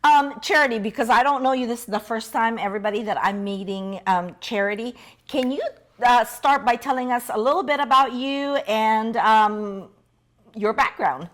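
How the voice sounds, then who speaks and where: A woman co-hosting a podcast, asking questions in a halting way with many restarts. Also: on the high side. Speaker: podcast co-host. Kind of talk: casual interview questions.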